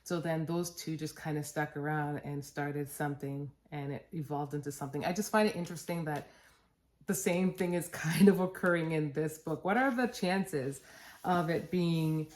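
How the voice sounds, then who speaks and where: A woman's voice: sits low, measured pace, darkish tone. A middle-aged woman vlogging about books in a casual, rambling way, correcting herself and laughing at her own words, with a stuffed-up nose. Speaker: book vlogger. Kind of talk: casual chatty book review. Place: basement at home.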